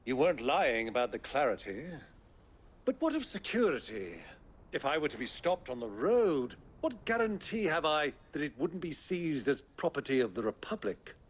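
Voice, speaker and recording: A man's voice: on the high side, very animated, moderate pace, husky. An actor voicing a crafty older British nobleman in a video game, with pompous, florid, sly diction. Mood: smooth bargaining.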